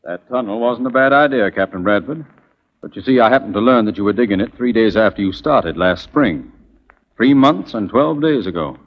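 An actor playing a smug, taunting Confederate prison commander in a 1940s radio drama, deliberate and mocking. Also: male voice, fairly low pitch, expressive. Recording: muffled, echoing old radio recording with hiss.

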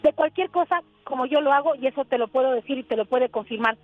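A woman's voice: high voice, fast. A young woman from Sonora, Mexico, a journalist, talking earnestly on a radio call-in show with frequent fillers. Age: young adult. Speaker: journalist guest. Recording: tinny phone line.

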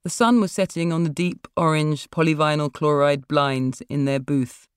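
A woman's voice: low-pitched, steady tone, normal speed, clear, resonant tone, no room sound, studio quality. A woman author reading her own literary fiction as narrator.

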